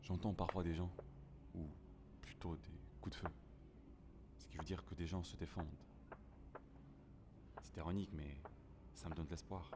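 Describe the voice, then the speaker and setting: A man's voice: low-pitched, fairly even intonation, moderate pace, pauses often, background noise, natural voice. A man playing a lonely, grieving survivor of a plant apocalypse, narrating a first-person audio drama in a somber, melancholic, dramatic way. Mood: mournful, wistful.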